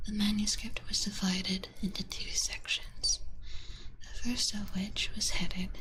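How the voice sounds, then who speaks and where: A woman streamer reading a story aloud in a soft, unvoiced ASMR whisper on a binaural microphone. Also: medium pitch, a little hiss or hum, low-quality recording.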